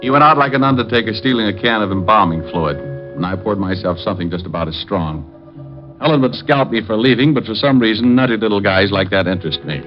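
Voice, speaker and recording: Actor as a dry, wisecracking detective narrator; low voice; lo-fi vintage radio, faint score. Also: male voice, lively intonation, fast, lightly acted. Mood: narrating. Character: wisecracking hard-boiled private detective. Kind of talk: 1940s radio acting with first-person narration. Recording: dull lo-fi old radio recording, faint music.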